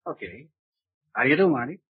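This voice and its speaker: Brash male crook, raspy, slangy, pushy, 1940s crime radio, muffled dry recording. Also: medium pitch, big pitch swings, a little fast, no room sound. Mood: cocky, excited. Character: brash small-time crook and blackmailer, slangy, cocky. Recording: dull old radio recording.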